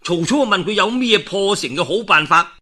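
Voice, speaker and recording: A man's voice: sits high, lively intonation, moderate pace, bright, forceful tone. A man telling a historical tale in colloquial Cantonese in a traditional storytelling style, with dramatic delivery and conversational asides.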